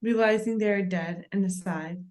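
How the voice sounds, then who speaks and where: A woman's voice: mid-range voice, a little slow, darkish tone. A woman narrating short stage directions from a script at a table read.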